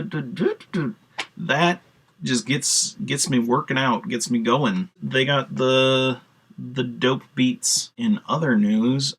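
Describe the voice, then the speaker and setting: A man's voice: mid-range voice, vocal fry, fairly bright tone. A man chatting casually on his video blog, rambling with lots of "uh" fillers and casual swearing. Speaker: video blogger. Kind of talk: casual solo vlog talk.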